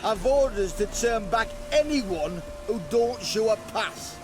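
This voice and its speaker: Gruff, surly guard. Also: male voice, sits high, animated, slow, music in the background. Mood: irritated. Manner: gruff, impatient. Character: surly, foul-mouthed male checkpoint guard. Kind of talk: video game voice acting.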